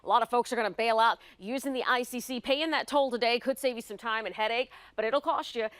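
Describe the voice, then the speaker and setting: A woman's voice: a little high, fast, fairly bright tone, clear, resonant tone, no room sound. A woman giving a live morning traffic report.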